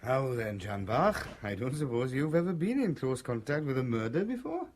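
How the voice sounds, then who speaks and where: An actor playing a wry, sceptical police sergeant in a radio drama, chatty, colloquial and sardonic. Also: male voice, medium pitch, sing-song, normal speed, slight background noise, lightly acted. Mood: dutiful.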